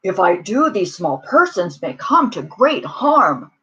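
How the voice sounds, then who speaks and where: A woman voicing a big, gentle, protective male storybook elephant, speaking earnestly and emphatically. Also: mid-range voice, sing-song, slow pace, muffled recording, exaggerated voice. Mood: worried, determined.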